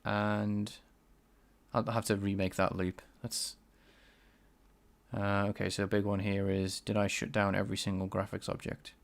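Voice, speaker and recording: Scouse guy streaming game dev, low gravelly voice, talks to chat as he codes, keyboard clacking behind. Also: flat delivery, normal speed. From England. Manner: thinks aloud, answers chat, enunciates carefully. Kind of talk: live coding stream, chatting with viewers. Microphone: desk microphone.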